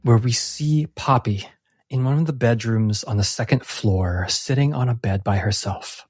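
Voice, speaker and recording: A man narrating a tabletop game as game master in a measured, deliberate, dramatic storytelling manner. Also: medium pitch, a little mellow.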